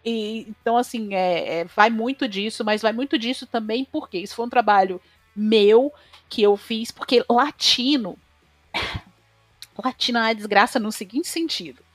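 Animated Brazilian woman chef, opinionated. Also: on the high side, normal speed, dry recording, faint music behind. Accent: Brazilian Portuguese. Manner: animated, opinionated, rising sharply when emphatic. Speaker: chef, guest. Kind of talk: casual conversation.

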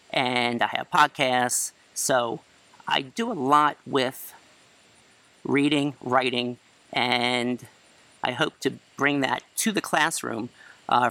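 Man, 40s, high school English teacher, loud chatty self-assured delivery, bright forceful voice, outdoor courtyard. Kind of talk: class introduction video lecture. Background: crickets and chirping.

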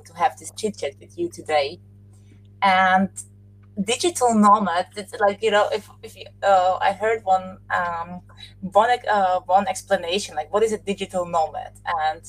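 A woman from Austria, a podcast guest, talking in a relaxed, thoughtful way with frequent laughs and restarted sentences. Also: lowish voice, moderate pace, poor audio. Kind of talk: casual interview chat.

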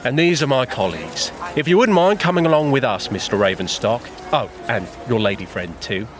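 An actor playing a politely firm 1930s police sergeant in an audio drama. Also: male voice, medium pitch, very animated, fairly quick, natural voice. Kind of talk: full-cast audio drama acting.

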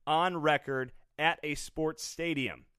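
A man's voice: on the high side, fairly even intonation, takes it slowly, sharp tone, smooth, no echo, clean studio sound. A man co-hosting a comedy sports podcast, reading out news items and riffing on them with frequent laughter. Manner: reads headlines then jokes, laughs often. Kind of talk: casual comedic chat.

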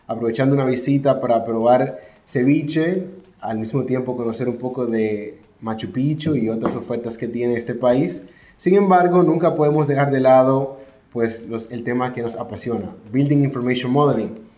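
A man hosting a podcast interview, greeting listeners and asking questions in a friendly, relaxed way, in an office. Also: mid-range voice, moderate pace. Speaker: podcast host. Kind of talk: conversational interview. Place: office room. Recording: roomy echo.

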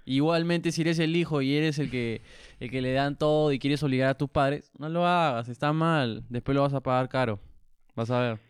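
A young man co-hosting a podcast in casual banter, teasing his partner, cursing and firing off quick jokes. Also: medium pitch, smooth, dry recording, studio quality. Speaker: podcast co-host.

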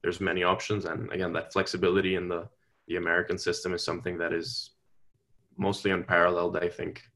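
A man's voice: deep voice, completely flat delivery, fast talker, fairly bright tone. A young man from Venezuela, a university student answering interview questions in an earnest, polite way, with long careful sentences and small restarts. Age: young adult. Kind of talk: conversational interview.

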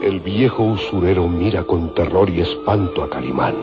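A man narrating an old radio drama serial in a dramatic, suspenseful scripted style with measured phrasing. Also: low-pitched, animated. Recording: muffled old radio recording with loud music.

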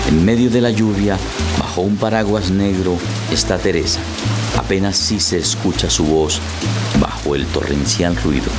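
A man narrating a radio drama in a dramatic, scripted style. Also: sits low.

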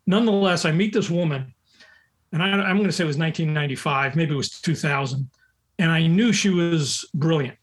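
A man's voice: fairly high pitch, a little fast. Older man telling rambling recruiting stories. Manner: rambling, anecdotal storyteller. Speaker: recruiter, guest. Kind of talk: casual conversation on a call. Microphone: call audio.

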